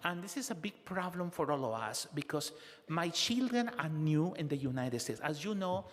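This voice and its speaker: Halting male interpreter in a reverberant hall. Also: on the high side, animated. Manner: halting first-person interpreting with filler "eh" and tag "huh?". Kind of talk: consecutive interpretation of public testimony. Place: city council hearing room.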